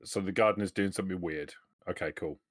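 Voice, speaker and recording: A man's voice: low-pitched, quick pace, no room sound, studio quality. A man chatting casually as a player in a tabletop roleplaying game. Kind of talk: casual chat.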